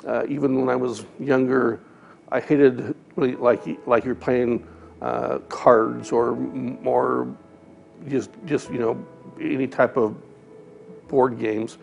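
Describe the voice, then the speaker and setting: A man's voice: medium pitch, little pitch movement, slow pace, gravelly, darkish tone, highs cut off, faint music behind. A man from Utah recalling his past in an interview, hesitant and repeating words. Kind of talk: reflective interview testimony.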